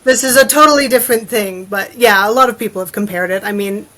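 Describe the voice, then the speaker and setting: A woman's voice: mid-range voice, fast talker, a bit bright, low-quality recording. A woman talking casually as a podcast guest, informal and given to loose run-on asides. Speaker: interview guest, adventure fiction author.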